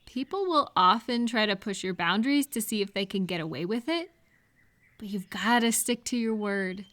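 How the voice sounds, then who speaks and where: A woman's voice: mid-range voice, animated, normal speed, a bit bright. A woman speaking conversationally to one listener in a supportive advice roleplay, reassuring and explanatory. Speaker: audio roleplay performer giving supportive advice.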